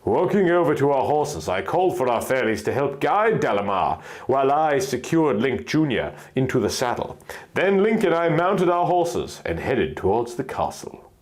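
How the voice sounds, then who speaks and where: A man's voice: mid-range voice, very animated, normal speed, darkish tone. A man narrating a first-person fantasy story in an earnest, theatrical storytelling manner.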